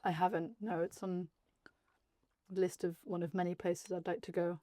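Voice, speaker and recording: A woman from South Wales talks as a guest in a relaxed mealtime interview. Her voice sits a little low, and she speaks informally with self-deprecating humour. The recording is very dry, without room echo. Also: moderate pace. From Cardiff area, Wales. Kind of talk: casual chat over a meal.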